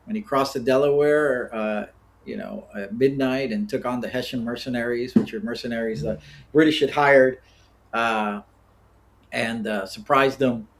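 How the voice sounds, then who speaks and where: An American man in his fifties speaks as an interview guest. His delivery is conversational and halting, wandering off into side stories, with a mid-range voice. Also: medium pitch, steady tone. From born in Cuba, grew up in the United States. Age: 50s. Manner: rambling, digressive, halting. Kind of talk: casual conversational storytelling.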